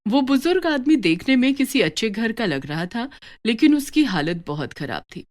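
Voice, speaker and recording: Expressive woman storyteller. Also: on the high side, moderate pace. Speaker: narrator. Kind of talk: scripted storytelling narration.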